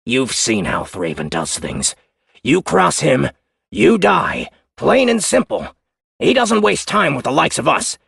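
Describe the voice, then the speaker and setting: A man doing a loud, scornful rebel commander. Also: a little high, sing-song, measured pace, creaky, husky, no echo, clean studio sound, pitched above the performer's own voice, exaggerated voice. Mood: scornful, defiant. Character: defiant gold-toothed male commander, hostile.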